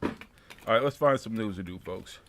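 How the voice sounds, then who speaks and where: A man's voice: fairly low pitch, normal speed, background noise. A man of fifty co-hosting a live show, chatting loosely and profanely, rambling through stories and viewer comments. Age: 50s. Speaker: podcast co-host. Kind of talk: casual live-stream chat reading comments.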